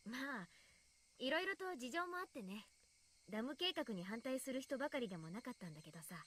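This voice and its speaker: A woman's voice: fairly high pitch, big pitch swings, rapid-fire, fairly bright tone, slight background noise. A woman acting a guarded, serious teenage village girl in a Japanese visual novel. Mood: hesitant, somber.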